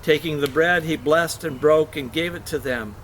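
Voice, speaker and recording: A man reading scripture aloud for a church service, expressive, with the odd stumble and self-correction. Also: on the high side, moderate pace, a little hiss or hum, rough recording.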